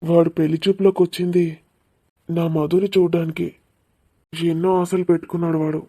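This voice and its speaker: A man's voice: fairly high pitch, little pitch movement, a little fast, dark tone. A man playing a troubled, lovesick young son in a cartoon family drama. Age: young adult. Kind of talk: animated cartoon dialogue.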